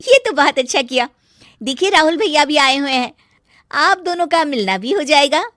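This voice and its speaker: Cartoon daughter-in-law acted by a woman: young, sweet, deferential, high and lively in pitch. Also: normal speed, no room sound, studio quality. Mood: pleased. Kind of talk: Hindi cartoon story dialogue.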